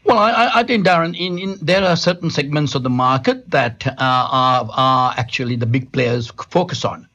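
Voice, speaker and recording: A man's voice: medium pitch, moderate pace, hardly any pauses, bright, forceful tone. A middle-aged man from Sri Lanka, a company founder answering interview questions in a studio, hesitant and full of restarts. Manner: hesitant, many restarts and fillers. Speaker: telecom company founder, guest.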